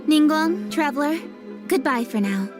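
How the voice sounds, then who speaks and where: A woman playing a dutiful, exacting young female official in a video game, with a crisp, businesslike and decisive manner. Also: high voice, expressive, a little slow, over music. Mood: brisk, polite. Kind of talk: scripted video game dialogue.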